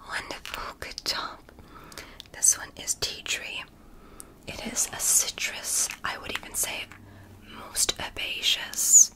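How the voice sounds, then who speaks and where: A woman whispering as a gentle alien woman doctor in an ASMR roleplay, softly praising and reassuring her patient. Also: natural voice, poor audio. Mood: soothing. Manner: soft, slow, praising and reassuring.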